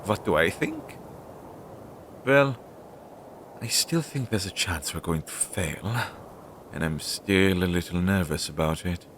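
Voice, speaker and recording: Audio drama familiar, male actor: nervous, self-doubting and devoted, slow hesitant delivery in a low register, clearly noisy recording. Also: lively intonation, fairly bright tone, full character voice. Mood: worried. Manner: hesitant, halting, fretful. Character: anxious, self-doubting magical familiar devoted to his master. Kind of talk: scripted audio roleplay, one-sided dialogue. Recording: low-fidelity audio with clear background noise.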